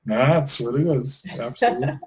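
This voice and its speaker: A man's voice: medium pitch, moderate pace. A middle-aged man, talking in an interview in an easygoing, rambling way, often restarting his sentences. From Wisconsin, USA. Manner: relaxed, rambling, with frequent restarts and fillers. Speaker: interview guest, English teacher trainer. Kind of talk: conversational interview.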